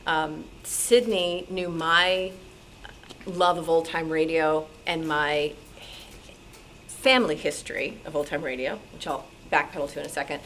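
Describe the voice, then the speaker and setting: An American woman telling stories informally to an audience, animated and acting out bits of dialogue. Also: low voice, unhurried. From New York City, United States. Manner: animated, acts out quoted dialogue. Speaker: guest speaker, radio recreation performer. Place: indoor club meeting room. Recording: noisy room recording of club meeting.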